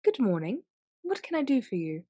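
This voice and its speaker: Haughty tired rich widow, formal clipped talk, radio drama, no room sound. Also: female voice, on the high side, big pitch swings, moderate pace, mellow, slightly rough, no room sound, clean studio sound. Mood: coolly polite. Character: proud, weary aristocratic widow, curt with servants. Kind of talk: radio play acting.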